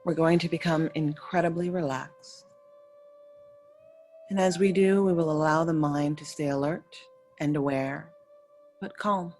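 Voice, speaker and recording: A woman with a low-pitched voice leads a guided meditation over faint music. She speaks as a yoga nidra teacher, giving soothing instructions in short, unhurried phrases and leaving pauses between them. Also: fairly even intonation, a little mellow.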